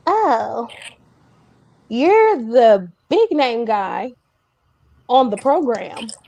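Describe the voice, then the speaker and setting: A woman hosting a casual interview chat, telling things in an animated, emphatic way. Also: a little high, drawn-out words, vocal fry, muffled recording. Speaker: host.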